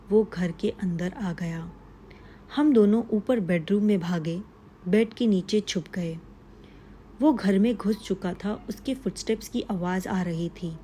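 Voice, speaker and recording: Woman telling a scary suspense story, really slow n measured, dark relaxed voice, dramatic pauses. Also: mid-range voice, slight background noise. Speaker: horror story narrator. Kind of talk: scripted first-person suspense story narration.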